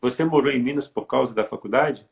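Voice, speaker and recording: A man's voice: mid-range voice, quick pace, creaky. A man hosting an interview, asking short questions and adding brief agreeing remarks. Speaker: host. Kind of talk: casual interview.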